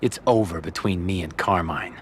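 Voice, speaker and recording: An actor playing a hard-edged mafia boss who speaks bluntly and with resolve. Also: male voice, low-pitched, moderate pace, slight background noise, close to an everyday voice. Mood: vengeful. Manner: blunt, tough. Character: ambitious young male mafia soldier turned don, hard. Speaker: Dominic, mob protagonist.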